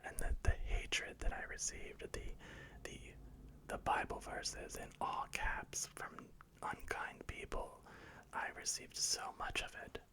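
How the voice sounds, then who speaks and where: A man whispering a slow guided meditation, softly repeating calm reassurances. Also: rough recording. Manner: slow, soft, repetitive reassurance.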